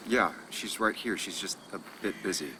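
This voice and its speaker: A man's voice: sits low, moderate pace, sharp tone, a little hiss or hum, rough recording. A man playing an earnest, brooding journalist in a video game drama, subdued, hesitant and introspective. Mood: somber. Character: brooding, earnest male journalist returned to hometown. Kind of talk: video game drama acting with inner monologue.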